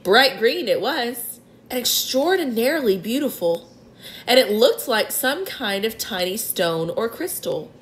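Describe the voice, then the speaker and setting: A woman's voice: on the high side, lively intonation, measured pace, a little hiss or hum. A woman voicing a boastful, cheeky male centipede in a storybook reading. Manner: loud, gleeful and boastful.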